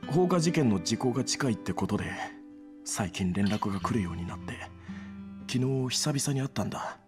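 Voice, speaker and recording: A man's voice: medium pitch, expressive, normal speed, over music, lightly acted. An actor playing a bitter, wounded young male gang leader in a Japanese game drama, brooding and intense. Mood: subdued, earnest. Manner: intense, brooding, breaking into anguished shouting. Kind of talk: Japanese video game cutscene acting.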